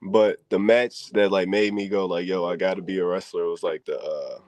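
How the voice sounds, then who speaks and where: A man's voice: sits low, steady tone, moderate pace, darkish tone, no room sound. A young man, a wrestler guest on an interview, talking in laid-back slang and saying "you know what I'm saying" constantly. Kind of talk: casual interview chat.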